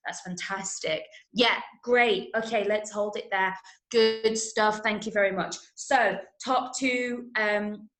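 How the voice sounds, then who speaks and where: A woman with an English accent leading an interactive online drama lesson in an encouraging way, full of praise and quick checks that everyone follows. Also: medium pitch, fairly even intonation, fairly bright tone. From Manchester, England. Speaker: drama workshop teacher. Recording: roomy, echoing online class audio.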